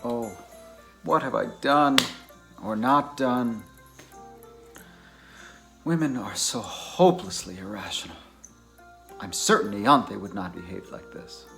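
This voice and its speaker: Man playing courteous, bookish bachelor with lively intonation. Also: fairly low pitch, slow, creaky, pauses often, faint music behind, heavily acted. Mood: dismayed musing. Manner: polite, wordy, bookish phrasing. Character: courteous, awkward English academic bachelor, privately exasperated in romance. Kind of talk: amateur dramatized novel reading.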